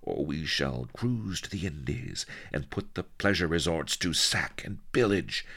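A man's voice: very deep voice, very animated, a little slow, fairly bright tone, slightly rough, full character voice. A man voicing a passionate, lovesick pirate queen in a story, with theatrical, melodramatic archaic speech. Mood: seductive, rapturous.

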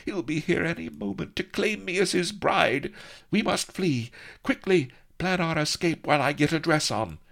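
A man voicing a haughty, flustered young noblewoman in an audiobook, raising his voice high and speaking in mock-archaic phrasing. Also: normal speed, creaky, fairly bright tone. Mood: imperious, flustered. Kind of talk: audiobook character reading.

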